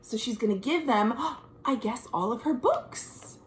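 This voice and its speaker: An American woman, a children's librarian, reading a picture book aloud in an expressive, sing-song way with chatty asides to young listeners. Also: fairly high pitch, measured pace, reverberant. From United States. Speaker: children's librarian hosting a virtual storytime. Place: at home.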